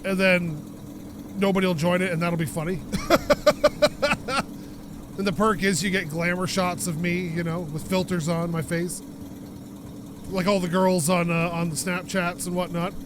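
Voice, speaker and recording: Chatty high-voiced man with the sniffles. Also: level tone, normal speed, long pauses, background noise. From North America. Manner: chatty, jokey, rambling asides. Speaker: gaming video host. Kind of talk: casual let's-play commentary.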